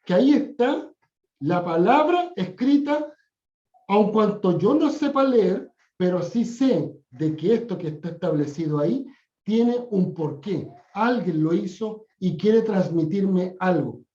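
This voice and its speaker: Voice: mid-range voice, animated, unhurried, dark tone, reverberant, muffled recording. A Bible class teacher giving a classroom lecture, often repeating phrases and correcting themself mid-sentence. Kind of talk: classroom lecture on scripture history.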